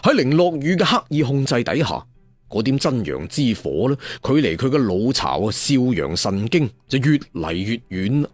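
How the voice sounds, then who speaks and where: A man's voice: medium pitch, big pitch swings, moderate pace, dry recording, faint music behind. A man with a Cantonese accent telling a serial fantasy story theatrically, with conversational asides and emphatic endings. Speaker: storyteller narrator.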